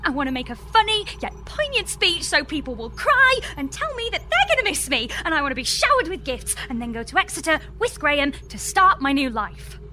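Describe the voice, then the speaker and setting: Actress as fed up lady space engineer, high sharp voice, really animated, radio comedy style. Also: a little fast, few pauses, slight background noise, full character voice. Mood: dry exasperation. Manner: exasperated, emphatic, dry. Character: exasperated female space engineer, pleading and dry. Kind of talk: audio drama comedy acting.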